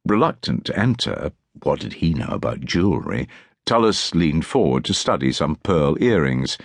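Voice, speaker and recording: A man's voice: extremely low pitch, very animated, normal speed, vocal fry, no room sound, studio quality. A man reading historical fiction as a scripted audiobook narration.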